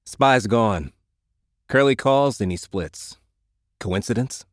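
A man's voice: lowish voice, animated, takes it slowly, no echo, studio quality. A man voicing a cool, aloof, self-interested young gang leader in an audiobook. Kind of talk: audiobook character dialogue.

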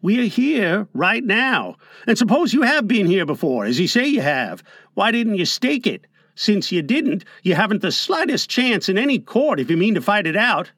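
A man's voice: sits high, very animated, moderate pace, a bit bright, no echo, studio quality, voice pushed up, exaggerated voice. A man voicing a blunt, feisty male gold prospector in a storybook, folksy and challenging. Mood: argumentative.

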